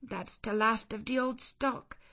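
Woman reading as a gruff old servant. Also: on the high side, fairly even intonation, measured pace, sharp tone, pitched above the performer's own voice. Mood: mournful. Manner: gruff and plain-spoken. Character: blunt suspicious elderly woman servant.

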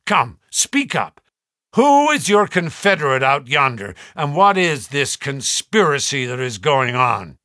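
A man voicing a stern, direct gentleman who interrogates his servant in a storybook reading. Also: mid-range voice, slow pace, hardly any pauses, no echo, studio quality. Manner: stern, commanding.

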